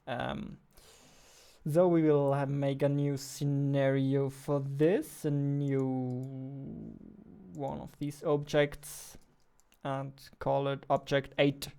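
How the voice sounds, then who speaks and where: A man's voice: medium pitch, little pitch movement, slow pace, gravelly, mellow, clean tone. A young man, a game developer, chatting casually while he codes, thinking aloud and joking at himself. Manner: thinking aloud, self-deprecating, chuckling. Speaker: game developer streaming his work. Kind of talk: casual live-stream chat while coding. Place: home room at a desk.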